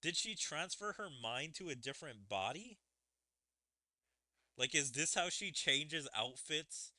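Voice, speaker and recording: A man's voice: fairly high pitch, animated, a little slow, pushed and bright, dry recording, studio quality. A man streaming a game, analytically puzzling aloud over the story and its wording as he plays. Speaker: gaming streamer. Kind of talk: let's play commentary, reading dialogue aloud. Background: video game music.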